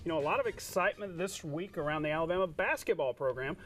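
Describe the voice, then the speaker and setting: A man co-anchoring a TV sportscast, narrating highlights in an informal, jokey way with playful banter. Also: animated, fast talker, recorded from a distance, music in the background. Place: TV studio.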